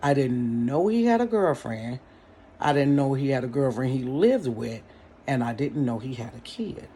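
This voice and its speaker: A woman's voice: rumbling low, moderate pace, a little hiss or hum. Laughing woman telling a story. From Cincinnati, United States. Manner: animated, laughs often, talks straight to the viewers. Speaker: storyteller vlogger. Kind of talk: casual storytime monologue to viewers. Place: her bedroom.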